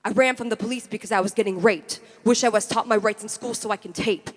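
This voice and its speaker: A woman's voice: medium pitch, steady tone, fast talker. A woman performing intense, confessional spoken word poetry in a rhythmic delivery. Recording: long hall reverb.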